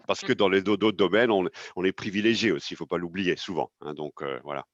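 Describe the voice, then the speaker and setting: A man with a very low voice speaks casually in a group discussion, tagging many sentences with "hein". His recording sounds dull and muffled, close and dry with no room around it. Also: steady tone, brisk, no echo. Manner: conversational, frequent tag "hein". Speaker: participant.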